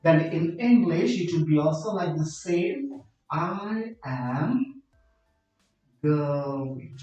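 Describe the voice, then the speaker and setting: A man with a Bangladeshi accent, a native Bengali speaker, teaching an English grammar lesson slowly and often asking whether students follow. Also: fairly high pitch, lively intonation, dark tone, heavy reverb, recorded from a distance, lo-fi sound, faint music behind. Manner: slow, explanatory, asks checking questions.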